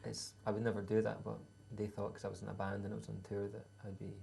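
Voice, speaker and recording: A Scottish man in his thirties talks in an unhurried, hesitant way, with dry humour and plenty of ums. His voice sits low and flat, with a gravelly rasp and a dark, mellow tone. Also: a little hiss or hum. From Scotland. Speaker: musician guest. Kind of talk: casual interview answers.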